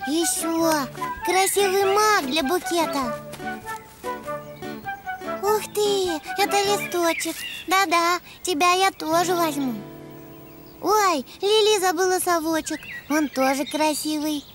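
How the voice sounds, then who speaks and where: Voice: very high-pitched, slow pace, over music. A performer voicing a cheerful, eager little donkey boy in a preschool cartoon, exclaiming and thinking aloud in a childlike way.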